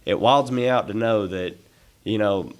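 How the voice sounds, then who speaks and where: A man with a fairly low voice talks as a podcast guest. He sounds earnest and sincere, and he often restarts his sentences, repeating words as he goes. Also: measured pace. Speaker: podcast guest, dealership employee. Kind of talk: casual podcast chat.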